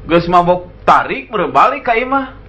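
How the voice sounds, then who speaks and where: Bright-voiced comedy sidekick. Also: male voice, high-pitched, big pitch swings, moderate pace. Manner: chimes in with short reactions, then tells his own jokes. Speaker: comedy partner. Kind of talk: comic storytelling in a duo.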